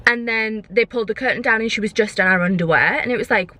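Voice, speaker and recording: A woman's voice: on the high side, fast, bright, forceful tone, poor audio. A young woman chatting casually for a vlog, airing her opinions in an exasperated, emphatic way and repeating herself. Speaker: vlogger.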